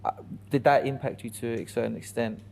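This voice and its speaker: Flat-voiced man asking hesitant questions. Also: mid-range voice, fast talker, creaky, slight background noise. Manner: flat delivery, hesitant questions with many fillers. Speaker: podcast co-host. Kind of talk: casual chat.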